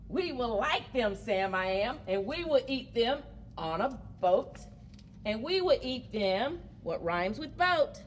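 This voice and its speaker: A middle-aged woman leads story time for small children in a high, very lively voice. She takes her time with each phrase, encouraging and animated. The recording is made indoors with noticeable background noise. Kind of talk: children's story time, sing-along and read-aloud. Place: indoors among library bookshelves. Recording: clear background noise, room ambience.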